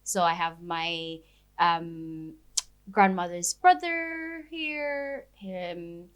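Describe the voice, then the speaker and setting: A woman's voice: lowish voice, extremely slow, a bit bright. A young woman from the Philippines, an Ilocano speaker, chatting casually as a podcast guest with many fillers. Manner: chatty, lots of fillers and laughter, Taglish asides. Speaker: ice cream business founder, guest.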